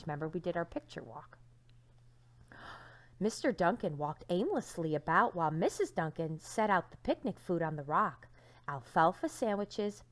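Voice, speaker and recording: A woman teacher reading a storybook aloud to young children, dramatic and expressive, with hushed phrases and questions to listeners. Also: fairly low pitch.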